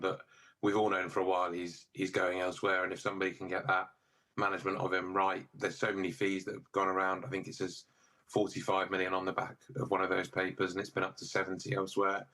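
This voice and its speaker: A man speaking as a football pundit in a broadcast panel discussion, measured and analytical. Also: sits low, pushed and bright.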